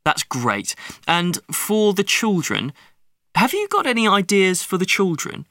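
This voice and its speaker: A woman's voice: mid-range voice, big pitch swings, measured pace, fairly bright tone, dry recording, studio quality. A woman in a paired speaking-task discussion, agreeable and offering suggestions.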